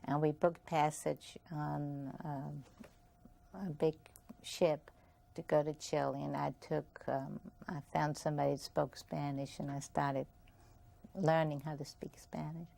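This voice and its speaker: Older Viennese-accented woman, low gravelly voice. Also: little pitch movement, unhurried. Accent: Viennese German. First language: German. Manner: soft-spoken, reflective, with small laughs. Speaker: interviewee. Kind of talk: oral history interview.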